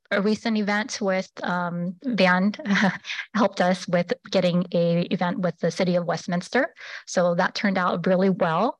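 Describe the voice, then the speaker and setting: A woman presenting a public assistance program at a public meeting, pausing often with fillers and restarts. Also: lowish voice, dry recording, poor audio. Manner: halting, many fillers and restarts.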